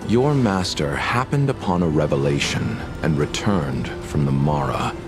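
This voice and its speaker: A man voicing a grave, composed envoy in a video game, formal, deliberate and courteous. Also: a bass voice. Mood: grave, explanatory. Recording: music playing behind the voice.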